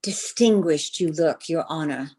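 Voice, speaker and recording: A woman's voice: deep voice, measured pace, a little mellow, slightly rough. Coquettish belle acted by a woman. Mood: gushing flirtation. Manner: flirtatious, gushing, genteel. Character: coquettish, flattering Southern belle lady. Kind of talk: old-time radio comedy acting.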